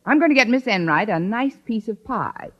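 An American actress playing a wry, scheming schoolteacher with dry, sardonic quips in a 1950s radio sitcom. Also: female voice, fairly high pitch, lively intonation, normal speed. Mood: sly, wry. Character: wry, scheming schoolteacher woman with a quick quip. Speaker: lead character and narrator. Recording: muffled old radio broadcast.

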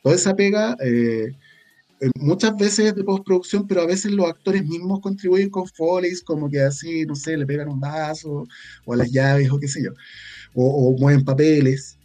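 A man's voice: on the high side, normal speed, warm tone. A man with a Chilean Spanish accent, talking casually and reflectively as an interview guest, peppering his speech with colloquial slang. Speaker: guest, audio drama creator and scriptwriter.

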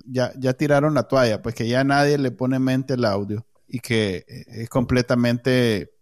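Animated joking podcast co-host man. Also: mid-range voice, measured pace. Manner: animated and joking, hesitant restarts. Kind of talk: casual chat.

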